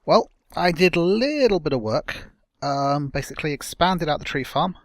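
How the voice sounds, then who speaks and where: A young man hosting a casual gameplay video, chatting and rambling through his progress with a slightly croaky voice. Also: a little high, expressive, normal speed, dry recording, studio quality. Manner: rambling, self-correcting, chatty. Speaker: let's play host.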